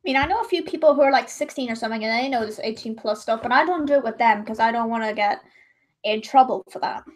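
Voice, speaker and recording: High-voiced young woman rambling on stream. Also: quick pace. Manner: rambling, self-correcting, chatty. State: voice gone high and strained. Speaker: livestreamer. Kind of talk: casual chat while drawing.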